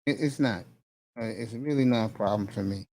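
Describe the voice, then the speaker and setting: A man hosting a casual conversation, speaking earnestly and encouragingly with many pauses and fillers. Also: fairly low pitch. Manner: warm, encouraging, hesitant fillers. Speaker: host.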